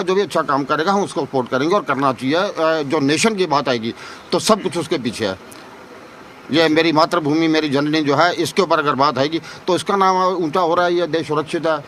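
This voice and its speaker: A man's voice: on the high side, a bit bright. A man giving opinionated street interview answers outdoors, emphatic and repetitive, full of rhetorical questions. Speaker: member of the public being interviewed. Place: outdoors in a city market. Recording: faint outdoor street noise.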